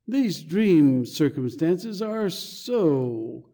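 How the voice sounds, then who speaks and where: A woman voicing a conscientious, bewildered male clergyman in a storybook reading, with slow, halting, earnest delivery. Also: low voice, big pitch swings, warm tone, heavily acted. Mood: bewildered, troubled. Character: dazed, muddled, conscientious young male curate.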